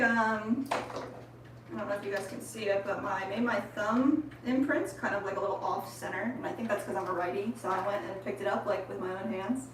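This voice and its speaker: A woman co-hosting a casual pottery livestream, thinking aloud with plenty of fillers as she works. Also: medium pitch. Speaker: ceramics livestream co-host. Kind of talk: casual livestream chat while making pottery. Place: pottery studio. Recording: echoey room, mic at a distance, noisy.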